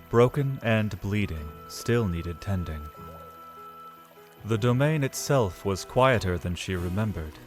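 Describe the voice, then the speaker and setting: A man narrates a dramatic fantasy story in a low voice. He is solemn and measured, setting out phrases with deliberate pauses. Faint music runs underneath.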